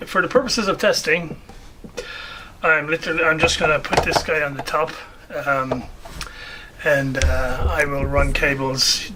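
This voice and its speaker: A man's voice: fairly high pitch, sharp tone, slight background noise. A man giving a casual, unscripted walkthrough of a hobby project, hesitating as he thinks aloud. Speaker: hobbyist video host.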